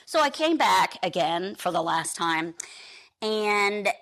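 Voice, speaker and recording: Guest: female correspondent, animated storyteller, very expressive pitch, laughs a lot. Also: slow pace, low-quality recording. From United States. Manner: lively, laughs often, acts out quotes.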